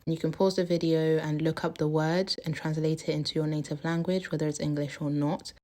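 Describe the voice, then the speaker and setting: A woman giving calm, measured study advice as a voice-over. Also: low-pitched.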